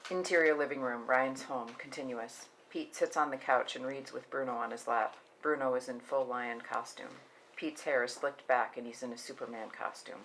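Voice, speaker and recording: Very deep-voiced woman reading stage directions. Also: steady tone, moderate pace, fairly bright tone, a little hiss or hum, rough recording. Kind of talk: scripted narration at table read.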